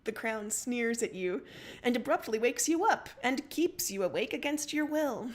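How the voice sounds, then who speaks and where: A woman's voice: on the high side, animated, moderate pace. A woman narrating second-person fiction expressively as a livestream story reader.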